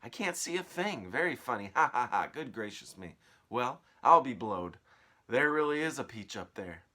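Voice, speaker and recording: A man's voice: medium pitch, sing-song, moderate pace, sharp tone, pitched above the performer's own voice. A man voicing a grumpy, greedy, disbelieving aunt from a children's storybook, blustering and scolding. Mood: amazed.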